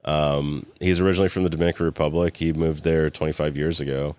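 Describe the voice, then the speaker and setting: A man's voice: a bass voice, little pitch movement, fast talker, darkish tone, clear, resonant tone, dry recording. A man from California, a guest in a casual interview, rambling with lots of fillers and restarts and chuckling often. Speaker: guest, cigar company co-founder.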